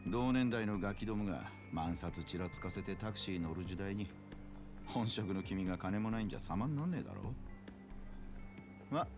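An actor playing a middle-aged loan shark in a video game, casual and patronizing as he talks. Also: deep voice. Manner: casual, condescendingly generous. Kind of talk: game cutscene voice acting.

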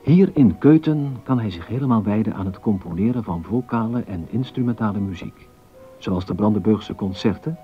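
A man's voice: lowish voice, dull audio, close to an everyday voice. A man narrating a historical docudrama in a scripted style, as its storyteller.

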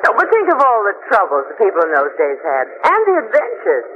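An actress playing a chatty, gently teasing travel companion in 1940s radio style. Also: female voice, medium pitch, huge pitch swings, a little fast. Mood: playful. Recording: dull, noisy old radio recording.

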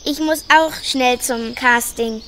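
Woman voicing a chatty young storybook girl, high, bright voice, faint birdsong behind. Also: slow, a little hiss or hum, heavily acted. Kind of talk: children's radio play acting.

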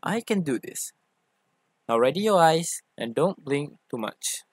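A man voicing a playful, doting, easily jealous femboy boyfriend in an ASMR roleplay, with a teasing, expressive delivery. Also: on the high side, breathy edge, no echo. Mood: focused, encouraging. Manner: teasing, gushing, expressive, drawn-out emphasis. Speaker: ASMR voice actor.

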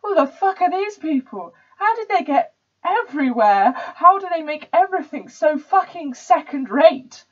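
Woman acting high-pitched posh, sneering young man. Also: very animated, moderate pace, distant mic. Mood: bitter outrage. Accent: posh English, put on. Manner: sneering, indignant, sweary ranting. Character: arrogant posh young male club member, sneering and enraged. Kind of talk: dramatic stage monologue reading. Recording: dull, lo-fi home recording.

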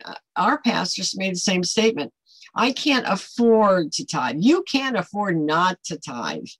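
A woman's voice: mid-range voice, no room sound, poor audio. An older American woman hosting a Bible study, teaching informally to camera in an emphatic, conversational manner. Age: older, 60s or above. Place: small home office, indoors.

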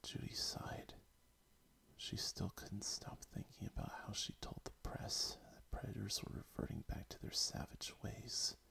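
A man reading a romantic animal story aloud in a soft whisper. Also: fairly low pitch, little pitch movement, unhurried, creaky. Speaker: story reader.

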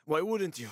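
A man's voice: fairly high pitch, no echo, clean studio sound, exaggerated voice. An actor playing a roguish, wisecracking male survivor in a video game, talking in a casual, teasing, sweary way. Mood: desperate, pained.

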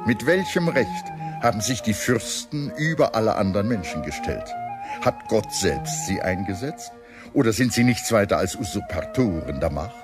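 A man reading a scripted history documentary in a measured, expressive storytelling manner. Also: on the low side. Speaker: documentary narrator. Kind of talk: scripted documentary narration with dramatized quotes. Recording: music under the voice. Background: background music.

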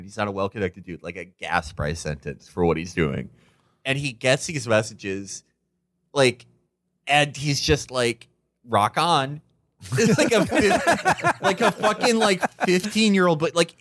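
A man's voice: medium pitch, a bit bright, no echo, studio quality. A man co-hosting a podcast, riffing casually in a sardonic, rambling, profane way. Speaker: podcast co-host.

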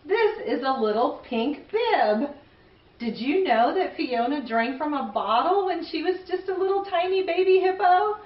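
High-pitched, gushing woman hosting for kids. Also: expressive, unhurried. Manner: gushing, exclamatory. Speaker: children's read-aloud show host. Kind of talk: playful presenting for young children. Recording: roomy, distant, slightly dull sound.

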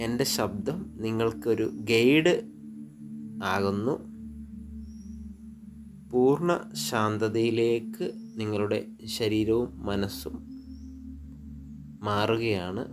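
A man with a Malayali accent guiding sleep hypnosis meditation, soothing and unhurried, pausing between short phrases over relaxing music. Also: on the low side. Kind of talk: guided sleep hypnosis narration. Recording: relaxing music with singing bowls behind.

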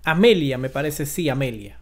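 A man in his forties with a Dominican accent gives informal news commentary as a host, halting and emphatic, with dramatic pauses. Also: mid-range voice, expressive. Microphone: close desk microphone.

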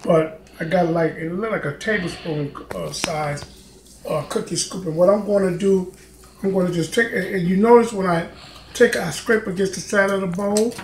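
A man's voice: high voice, fairly even intonation, moderate pace. A man in his fifties hosting a casual home cooking tutorial, chatting and rambling with lots of fillers as he films himself in his kitchen. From Chicago, United States. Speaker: home cooking channel host. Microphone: camera mic, filming himself. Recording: echoey kitchen with faint noise.

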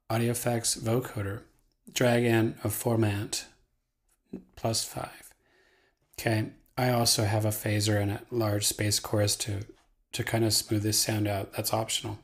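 A man giving a casual software tutorial as the trainer, talking in a folksy, playful way. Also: fairly low pitch.

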